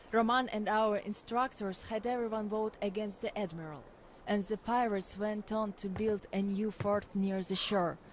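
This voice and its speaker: A woman's voice: medium pitch, a little hiss or hum, natural voice, poor audio. An actress playing a tough young woman telling of her group's past, frank and wistful. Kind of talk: in-game monologue.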